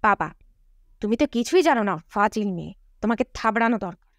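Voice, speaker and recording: Woman voicing a stern, muttering father, pitched down. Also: animated, brisk, no echo, clean studio sound, close to an everyday voice. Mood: scolding. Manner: muttered, low-voiced scolding. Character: stern, grumbling male English-obsessed father and teacher.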